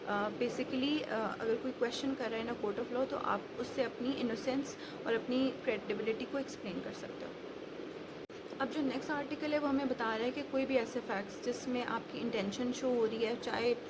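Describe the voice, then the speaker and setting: Lady teaching law, slightly high voice, chatty lots of ums, noisy background. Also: steady tone, moderate pace. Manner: conversational, explains with examples, many fillers. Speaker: law lecturer. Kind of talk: explanatory law lesson. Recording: noticeable background noise.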